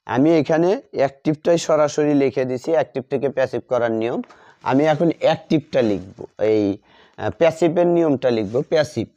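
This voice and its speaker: A man teaching a grammar lesson, repeating key words slowly as he writes them out. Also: medium pitch, warm tone. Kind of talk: classroom lesson at a board. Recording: dull lo-fi sound.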